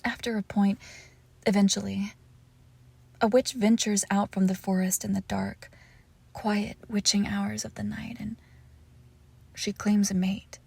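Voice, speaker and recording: Woman doing a possessive lonely witch asmr thing, slow and breathy w lots of whispering, bit of creaky fry. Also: mid-range voice, level tone. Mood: wistful, lonely. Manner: soft, breathy, often slipping into whispers, tender cooing. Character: lovesick possessive forest witch, doting, lonely, clingy. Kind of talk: intimate ASMR roleplay, scripted monologue.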